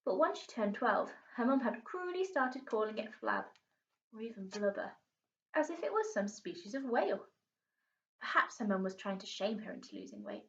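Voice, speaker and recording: A woman teacher reading a children's storybook aloud in an expressive storytelling style. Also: mid-range voice, moderate pace. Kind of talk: storybook narration. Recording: roomy, distant, muffled home recording.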